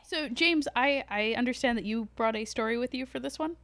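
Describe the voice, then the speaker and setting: A woman's voice: fairly high pitch, a little fast, a bit bright, no echo. A woman co-hosting a podcast with casual, informal banter. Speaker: podcast co-host.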